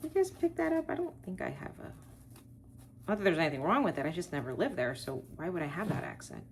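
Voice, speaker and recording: Low, gravelly-voiced chatty woman from Texas. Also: very animated, fast, background noise. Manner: chatty, thinks aloud, rambling asides. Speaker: wreath-making tutorial host. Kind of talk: casual crafting tutorial, talking while working.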